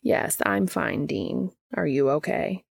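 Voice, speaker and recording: A woman voicing an earnest, innocent male angel in an audio story, stiff, deliberate and formal, with a husky voice. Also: sits low, sing-song, dry recording, studio quality, pitched below the performer's own voice, exaggerated voice. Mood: calm concern.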